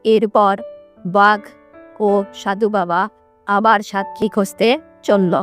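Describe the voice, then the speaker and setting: A woman's voice: mid-range voice, fairly even intonation, takes it slowly, a bit bright, soft background music. A woman reading scripted narration for a children's cartoon fable.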